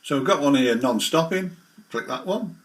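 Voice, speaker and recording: A man giving a casual, rambling, halting software demonstration as a hobbyist. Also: a little high, animated, very noisy. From the English Midlands.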